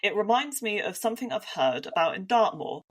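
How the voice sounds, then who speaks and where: A woman's voice: mid-range voice, very animated, a little fast, slightly rough. A woman from Devon with a Devon accent, co-hosting a casual podcast and thinking aloud with frequent restarts. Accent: Devon English. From Devon, England. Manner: thoughtful, hedging with many restarts. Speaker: podcast co-host.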